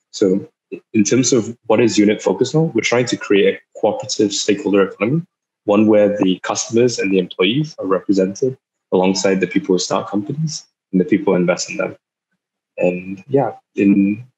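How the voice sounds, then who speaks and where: A young man in his twenties from Singapore, a startup founder giving an online talk, speaking haltingly with many fillers and repeated words. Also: sits low, darkish tone, poor audio. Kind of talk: online talk over a video call. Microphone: video call.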